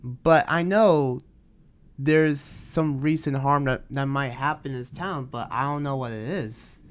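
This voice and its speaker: A man playing a weary, conflicted demon slayer in an improvised game roleplay, speaking haltingly and pensively. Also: mid-range voice, natural voice. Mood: weary, earnest. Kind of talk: improvised Minecraft anime roleplay. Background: music.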